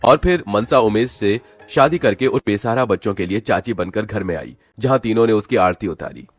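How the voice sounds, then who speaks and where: A man's voice: lowish voice, expressive, normal speed, soft background music. A man narrating a scripted dramatic story as its storyteller.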